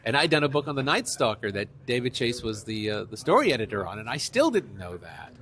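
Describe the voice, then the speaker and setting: A mature man speaks as an author guest in an interview, with a mid-pitched voice. He tells stories conversationally, quoting exchanges and repeating key phrases for emphasis. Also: very animated, fast talker, fairly bright tone. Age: middle-aged or older. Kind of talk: conversational interview, anecdotal storytelling.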